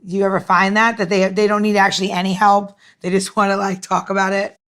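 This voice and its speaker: A woman's voice: medium pitch, level tone, fast talker, fairly bright tone. A woman co-hosting a casual drinks podcast. She rambles through her questions with many fillers and giggles as she talks. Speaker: podcast co-host. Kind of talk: casual chat.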